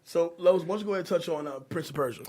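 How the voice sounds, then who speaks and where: A man's voice: on the high side, very fast, mellow. A man hosting a casual podcast chat, talking in slang with frequent swearing.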